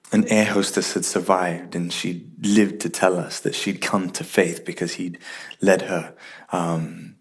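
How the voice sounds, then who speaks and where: Husky low-voiced man, earnest. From Ethiopia and UK. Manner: reflective, earnest, builds in intensity. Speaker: testimony speaker. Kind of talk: personal faith testimony.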